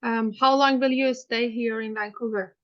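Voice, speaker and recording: A woman questions a client in a mock hearing, pausing often and restarting her sentences. Her voice sits fairly high. The recording is dull and muffled. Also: steady tone. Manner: hesitant, many fillers and restarts. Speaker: student playing counsel in a mock hearing.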